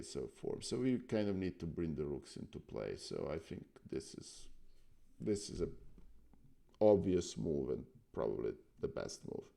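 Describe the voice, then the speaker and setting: A man's voice: deep voice, vocal fry, warm tone. A man whose first language is Serbian, thinking aloud through chess moves on a live stream, hesitant and full of fillers. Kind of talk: casual chess analysis on stream.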